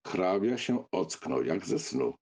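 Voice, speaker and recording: Male audiobook narrator, low gravelly voice, lively melodic delivery, literary storytelling. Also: takes it slowly.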